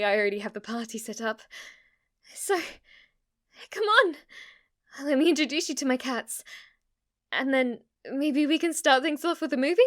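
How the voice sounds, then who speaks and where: A woman's voice: high voice, no echo, clean studio sound, voice pushed up. A woman voicing an obsessive, lovestruck witch in an intimate ASMR roleplay, with a hesitant, gushing way of talking. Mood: ecstatic, gushing. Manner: hesitant, rambling, gushing, giggly delivery. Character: lovestruck yandere witch, obsessive, shy yet giddy, teasing.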